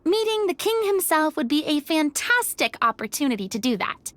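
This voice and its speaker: A cheerful, well-bred young lady, as a woman voices her, pitched high with a lilting, lively line. She sounds close and dry. Faint music sits underneath. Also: normal speed, no echo. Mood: breezy.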